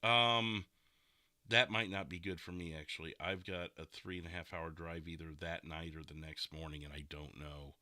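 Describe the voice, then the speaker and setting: A man's voice: low voice, fairly even intonation, normal speed, pushed and bright, no room sound, studio quality. A man hosting a podcast in casual chat, with hesitant, rambling phrasing. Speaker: podcast host.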